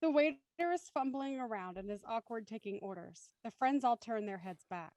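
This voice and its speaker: Dry close sound, woman narrator, higher pitch, scripted stage directions. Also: moderate pace, darkish tone, dry recording.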